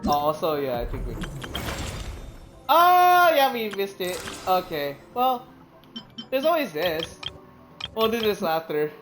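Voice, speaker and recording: Animated, swearing woman game streamer. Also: fairly low pitch, slow pace, pauses often, faint music behind. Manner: rambling, swears, laughs often. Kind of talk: casual gameplay commentary.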